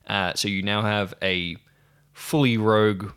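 A man's voice: on the low side, steady tone, unhurried, fairly bright tone, clear, resonant tone. A man narrating as game master of a tabletop role-playing game, chatting casually between stretches of story.

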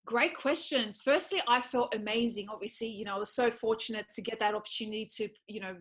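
Australian female podcast guest, higher-pitched voice, chatty, enthusiastic, casual conversational delivery. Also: quick pace, a bit bright. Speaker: podcast guest, speaker and former PE teacher.

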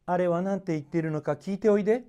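A man's voice: high voice, fast, dark tone, smooth, pitched above the performer's own voice, natural voice. A man voicing a curious young princess in a storybook, giving orders in a light, breezy way. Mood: curious, playful.

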